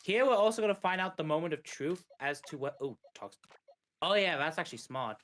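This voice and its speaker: A man's voice: a little high, expressive, fairly quick, a bit bright. A man giving casual co-commentary on a video game speedrun, often circling back to repeat and correct his phrases. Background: video game music.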